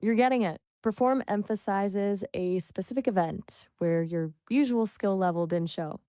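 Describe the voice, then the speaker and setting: A woman coaching English conversation, patient and full of praise, explaining word choices clearly. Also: medium pitch, normal speed, dark tone, no room sound. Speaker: English tutor. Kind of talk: encouraging language coaching.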